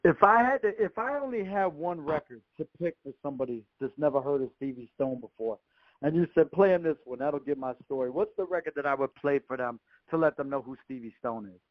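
A man with a fairly high voice hosts a radio interview in a casual, conversational style. The sound is dry and somewhat dull, as on a conference call. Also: animated, fairly quick, dry recording. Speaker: radio show host, interviewer. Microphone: phone or call line.

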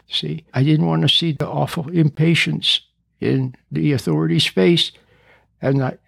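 A man's voice: a little high, creaky, dry recording. A man in his seventies who stutters at times, giving an earnest talk to parents, repeating and rephrasing his points. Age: 70s. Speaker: speaker on parenting. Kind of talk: recorded talk addressing parents.

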